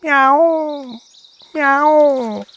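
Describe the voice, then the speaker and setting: A man's voice: very high-pitched, drawn-out words, a bit bright, highs cut off, pitched above the performer's own voice, heavily acted. A man voicing a small but fearsome male cat meowing in a folktale. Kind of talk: storybook animal voice.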